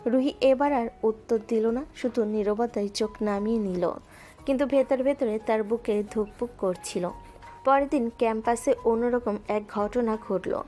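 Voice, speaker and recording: A woman narrating a romantic audio drama in a calm, steady storytelling voice. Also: on the high side, moderate pace, soft background music.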